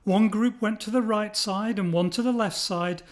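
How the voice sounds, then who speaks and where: A man's voice: high voice, normal speed. A man narrating a scripted children's story expressively, as a storyteller.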